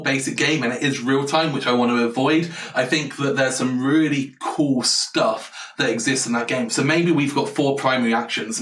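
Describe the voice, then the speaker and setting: A man's voice: mid-range voice, fairly bright tone. A man thinking aloud on a live stream while designing a board game, eager and rambling as he answers chat. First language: English. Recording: roomy, distant from the mic.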